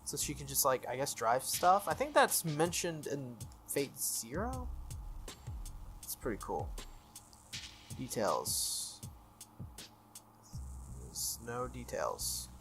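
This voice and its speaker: Playthrough commentator, mid-pitched voice, casual read-aloud, slightly halting, background music. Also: huge pitch swings. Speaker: video game player reading aloud. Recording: music behind the voice. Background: background game music.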